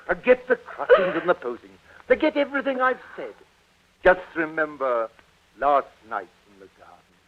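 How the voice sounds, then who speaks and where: Radio drama father: excitable veteran thespian, widely swinging florid speech, thin noisy recording. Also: on the high side, normal speed, narrow, tinny audio. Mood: tender, remorseful. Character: doting, excitable, theatrical middle-aged father, veteran stage actor. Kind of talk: 1940s radio drama acting.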